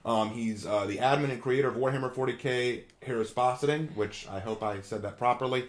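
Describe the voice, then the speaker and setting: A man's voice: mid-range voice, fairly quick. A man hosting a live stream, talking in a friendly, chatty way with frequent ums and uhs. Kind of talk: casual live stream commentary.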